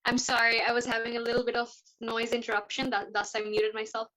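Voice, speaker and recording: A woman's voice: a little high, completely flat delivery, fast, pushed and bright, low-quality recording. A woman hosting an online panel interview, speaking in a friendly way with many fillers and small apologies. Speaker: host.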